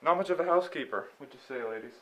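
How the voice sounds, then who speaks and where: A man's voice: fairly high pitch, expressive, rapid-fire, a bit bright. A man acting in a stage play as a condescending county attorney, questioning people in a smug, probing way. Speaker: stage actor. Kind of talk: amateur stage play dialogue. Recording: dull, muffled stage recording.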